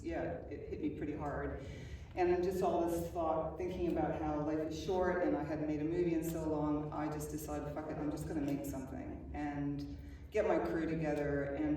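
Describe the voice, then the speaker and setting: An Australian woman, a film director, speaking candidly and swearing casually in a live stage Q&A. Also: low voice. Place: cinema stage before an audience. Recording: very echoey venue, distant mic, noise.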